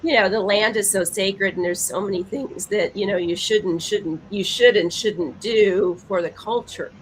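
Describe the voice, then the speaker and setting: A woman's voice: medium pitch, moderate pace, poor audio. A woman co-hosting a podcast, chatting casually and emphatically, repeating herself for stress. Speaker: podcast co-host. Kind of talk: casual chat. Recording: faint background noise.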